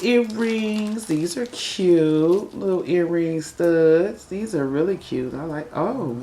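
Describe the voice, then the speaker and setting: A woman casually chatting through an unboxing for her viewers, her voice raspy from a cold as she admires each piece. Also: sits low, darkish tone, slight background noise. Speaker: unboxing vlogger. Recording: echoey room.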